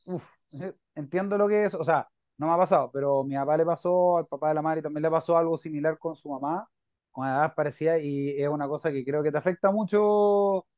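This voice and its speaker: Chilean male podcast host, raised pitch, relaxed talker, muffled dry audio. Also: brisk, dry recording. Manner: relaxed, chatty, talks about himself. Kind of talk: casual interview chat. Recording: dull dry sound.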